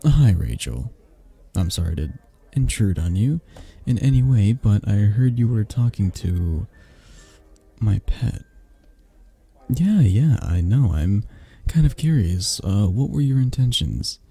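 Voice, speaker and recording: A man playing a possessive mafia boss in a romantic ASMR audio roleplay, speaking haltingly with sighs and pointed pauses in a low, breathy, husky voice. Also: big pitch swings, warm tone, soft background music, exaggerated voice. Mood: cold menace. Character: possessive, menacing young mafia boss, softening into awkward tenderness.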